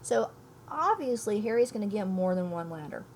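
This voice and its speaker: A woman's voice: mid-range voice, sing-song, normal speed, a little mellow. Woman teacher reading aloud, faint background noise. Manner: emphatic, thinks aloud, poses questions to listeners. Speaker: elementary school teacher. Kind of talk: read-aloud lesson, storybook reading and explaining.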